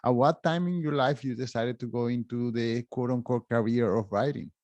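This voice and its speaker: A man hosts a podcast, introducing his guest and asking questions. His voice sits in a middle pitch with animated, rising emphasis, recorded dry and close. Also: normal speed, no room sound. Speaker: podcast host. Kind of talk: podcast interview.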